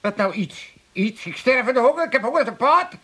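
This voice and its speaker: Husky, cranky old Amsterdammer. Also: male voice, very high-pitched, animated, a little fast, a bit bright, full character voice. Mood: indignant. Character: cranky, cheeky old Amsterdam father, hungry moocher. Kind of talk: 1980s comic radio drama acting.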